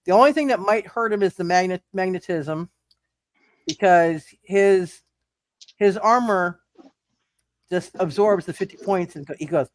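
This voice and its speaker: Halting game master with a close, dry sound. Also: medium pitch, dry recording. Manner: halting, repeats words and phrases. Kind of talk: live tabletop roleplay game narration and rulings.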